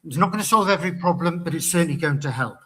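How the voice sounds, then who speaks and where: A man with a slightly raised pitch and a bright, ringing tone. He speaks in a measured, orderly way to a hall audience, restarting a word now and then. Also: breathy edge. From Pembrokeshire, Wales. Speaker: county councillor and election candidate. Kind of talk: hustings speech.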